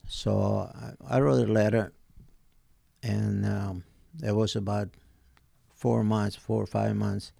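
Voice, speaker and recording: An Italian man of eighty with an Italian accent, telling his life story in halting, hesitant English as an interview guest. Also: fairly low pitch, flat delivery, vocal fry, dark tone, no room sound, studio quality. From southern Italy. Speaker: podcast guest, retired tailor.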